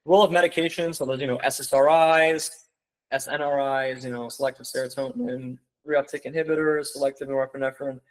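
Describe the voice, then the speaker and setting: A man's voice: mid-range voice, fairly even intonation, brisk, low-quality recording. A young man giving a casual online seminar, rambling with lots of "like" and "you know" and calling his viewers "guys.". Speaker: webinar presenter.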